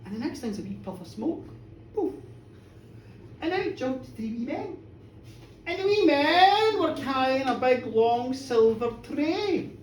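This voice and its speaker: A woman's voice: high-pitched, big pitch swings, unhurried. A woman telling a folk tale live in a theatrical, colloquial way, repeating phrases for effect. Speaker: storyteller. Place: indoor bar, live performance. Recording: echoey bar, distant mic, background noise.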